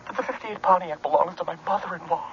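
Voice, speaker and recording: An actor playing a desperate, wisecracking henpecked customer in a comic radio commercial, exasperated and pleading. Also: male voice, high-pitched, fast talker, vocal fry, a little hiss or hum. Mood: comic desperation. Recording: lo-fi radio, voices behind.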